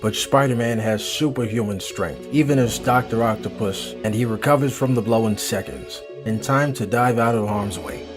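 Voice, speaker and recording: A man's voice: mid-range voice, normal speed, hardly any pauses. A man giving dramatic scripted narration for a comic book story. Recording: music behind the voice.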